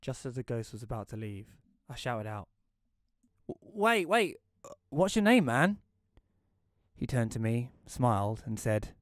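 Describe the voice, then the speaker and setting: A man's voice: mid-range voice, sing-song, unhurried, pauses often, no echo. A man playing a reclusive, wry widower, narrating an audio play in the first person in a dry, reflective way. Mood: hesitant.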